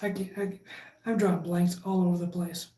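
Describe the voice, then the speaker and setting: A woman with a Michigan accent hosting a casual live stream, chatting with viewers in a relaxed, halting way with lots of fillers and restarts. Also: lowish voice, slight background noise. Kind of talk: casual live chat, reading viewer comments. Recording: echoey room.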